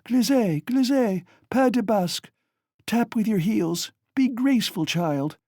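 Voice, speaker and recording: Dying delirious mother, hoarse, shrill, dry close sound; reader doing her. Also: takes it slowly, warm tone, no room sound, exaggerated voice. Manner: shrieking, frantic, wailing. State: hoarse, gasping.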